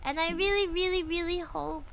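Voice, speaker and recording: A woman's voice: very high voice, fairly even intonation, moderate pace, gravelly, fairly bright tone. A young woman from the Philippines, streaming live and chatting casually with her viewers in a bubbly, giggly way.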